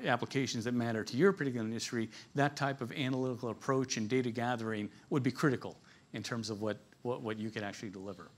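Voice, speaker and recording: A man giving an informal business presentation at a conference, talking in a conversational, lightly jokey storytelling way. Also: medium pitch. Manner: conversational, jokey storytelling, chuckles at his own asides.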